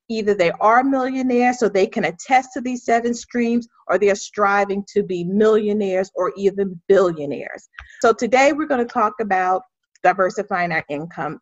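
A woman's voice: medium pitch, dry recording, dull audio. A woman hosting an interview podcast, speaking with emphatic, faith-filled enthusiasm. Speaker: podcast host.

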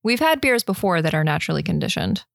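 A woman's voice: lowish voice, big pitch swings, fast talker, creaky, no echo, studio quality. A woman co-hosting a beer podcast, giggly, reading brewery facts in casual chat. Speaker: podcast co-host.

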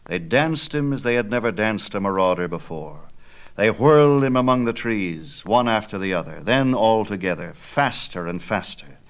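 Male narrator, lowish register, dramatic and declamatory, reading myth in measured phrases. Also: animated. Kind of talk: dramatic scripted storytelling of myth.

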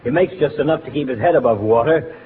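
An actor playing a pompous, sarcastic doctor friend who needles in florid words, in 1940s radio comedy. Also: male voice, mid-range voice, fast, in a large hall, natural voice. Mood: teasing. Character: pompous wisecracking doctor, needling middle-aged male family friend. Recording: tinny, noisy 1940s radio recording.

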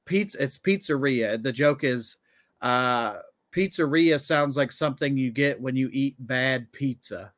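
A man's voice: medium pitch, unhurried, a bit bright, no echo, clean studio sound. A man in his thirties, a comedian and podcast co-host, telling things in a casual conversational way. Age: 30s.

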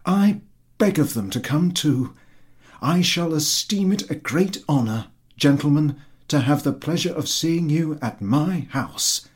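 A man's voice: on the high side, lively intonation, a little slow, slightly rough, voice pushed up. A man voicing an eager, boastful landowner who fawns effusively on his superior in a story reading. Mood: effusive, self-satisfied. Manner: obsequious, effusive, over-polite.

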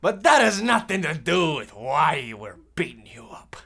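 Man as a high, swooping boy bully. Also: sing-song, normal speed, creaky, a bit bright, exaggerated voice. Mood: pompous, taunting. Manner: pompous, exaggerated, stagy. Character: pompous, resentful twelve-year-old boy bully, gang leader. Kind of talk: parody of old-time radio drama.

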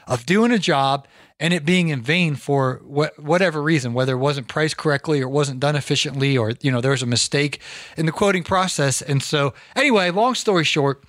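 Male podcast host, hoarse voice, rambling energetic chat, higher pitch, dry sound. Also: dry recording. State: hoarse from recording.

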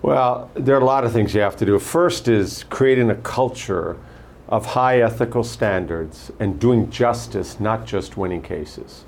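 An older man running for prosecutor, answering interview questions in a measured way and telling stories from his career. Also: lowish voice, expressive, vocal fry, a little mellow, slight background noise. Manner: measured, anecdotal, lists names and past posts. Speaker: candidate for prosecutor.

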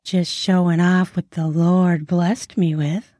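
A man's voice: high-pitched, level tone, slow, dark tone, dry recording, clean studio sound, pitched above the performer's own voice. A man voicing a bawdy, sly frontier barkeep woman with a Minnesota accent and a teasing, drawling delivery. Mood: flirty. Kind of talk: audiobook character voice.

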